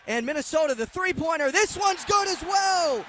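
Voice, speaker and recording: High-pitched, excitable male play-by-play announcer, noisy broadcast. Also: moderate pace. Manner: excitable, shouting on big plays. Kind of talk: live radio football and basketball play-by-play commentary. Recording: clearly noisy live broadcast sound.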